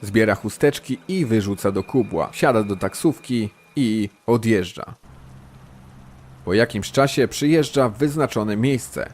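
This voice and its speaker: Low-voiced male crime story narrator. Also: a little slow, a little mellow. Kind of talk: scripted crime drama narration.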